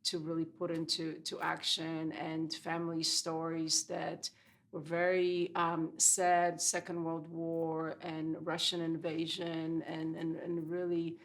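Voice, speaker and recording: A woman's voice: low voice, completely flat delivery, takes it slowly. A woman in her sixties from Poland, Polish her first language, a guest in an interview telling her story reflectively with many fillers. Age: 60s. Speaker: guest dermatologist. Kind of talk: casual interview chat.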